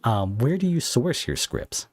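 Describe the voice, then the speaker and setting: A man hosting a casual interview podcast, effusive and halting as he thinks aloud. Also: mid-range voice, huge pitch swings, dry recording. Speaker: podcast host interviewing a creator.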